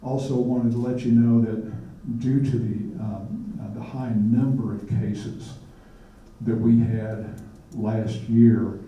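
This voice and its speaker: A man's voice: mid-range voice, steady tone, slow pace, warm tone, dull audio. A man, a public health official, giving a presentation and often stumbling into repeated words. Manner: many restarts and repeated words. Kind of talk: presentation at a community forum. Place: lecture hall. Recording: echoey hall, mic far off, faint noise.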